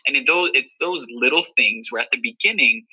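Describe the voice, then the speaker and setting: Young male interview guest from Miami, very bright tone, earnest and reflective, lots of fillers. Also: medium pitch, fairly quick, breathy edge, low-quality recording. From Miami, Florida. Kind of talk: casual interview talk.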